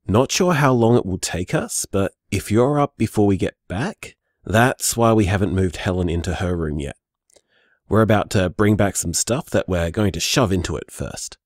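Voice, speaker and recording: A man's voice: low-pitched, lively intonation, moderate pace, dry recording, clean studio sound. A male actor playing an easygoing, loving man who leaves casual, understated voice messages for his partner in an audio drama. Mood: casual, affectionate.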